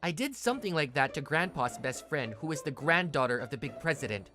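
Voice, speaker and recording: A woman's voice: low voice, animated, fairly quick, a bit bright, soft background music. A woman voicing a male university student, plain-spoken but blunt and tough when provoked, in a manga dub. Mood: worried. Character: young male university student, rough ex-delinquent, protective. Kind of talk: manga dub acting and first-person narration.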